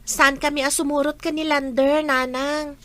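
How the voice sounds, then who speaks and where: A woman's voice: high-pitched, little pitch movement, moderate pace, pushed and bright. A woman with an Ilocano accent acting a stubborn, emotional younger woman in a radio drama. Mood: defiant.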